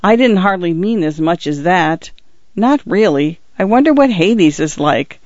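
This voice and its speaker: A man's voice: high voice, expressive, measured pace, dry recording. Male reader playing a timid young clerk, hesitant. Muffled, dry recording. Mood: awed. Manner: hesitant, apologetic.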